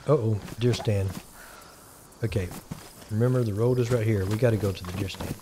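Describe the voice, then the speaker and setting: A man with a Texan accent giving relaxed, rambling commentary and thinking aloud while he plays a survival game. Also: on the low side, brisk, background noise. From Texas. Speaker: gaming channel host. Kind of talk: casual gameplay commentary.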